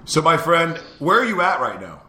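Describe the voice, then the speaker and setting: A man's voice: on the high side, big pitch swings, moderate pace, pushed and bright, reverberant. A man hosting a live morning show, upbeat and laughing easily as he chats with a guest. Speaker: host. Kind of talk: casual live show chat.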